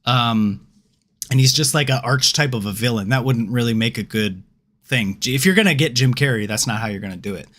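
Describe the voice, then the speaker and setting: A man's voice: medium pitch, fairly quick, fairly bright tone. A man hosting a casual chat show about video game films, joking around in loose slang. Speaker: show host.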